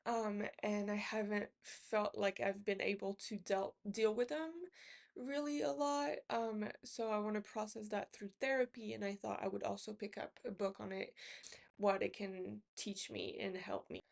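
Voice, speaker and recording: A woman's voice: medium pitch, steady tone, normal speed, creaky. A woman who speaks English as a second language, chatting casually to camera as a book vlogger. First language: not English. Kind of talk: casual chat to camera about books.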